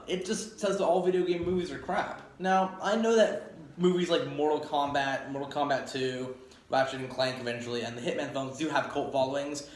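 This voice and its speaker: A man hosting an informal video review to camera, speaking in a blunt, opinionated, rambling way. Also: mid-range voice. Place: home garage, indoors. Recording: echoey room with faint background noise.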